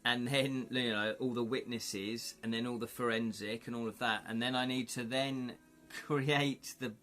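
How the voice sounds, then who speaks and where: A man's voice: mid-range voice, steady tone, unhurried, fairly bright tone, soft background music. A man hosting a live stream, chatting casually and thinking aloud as he rambles with lots of "you know". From United Kingdom. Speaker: livestream host. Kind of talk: casual chat with viewers.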